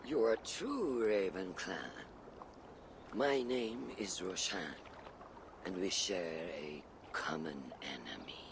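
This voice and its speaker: Very deep, gravelly conspirator. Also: male voice, very animated, noisy background, exaggerated voice. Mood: solemn. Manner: formal, deliberate. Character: shrewd, secretive warrior ally offering a pact. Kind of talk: dramatic video game acting.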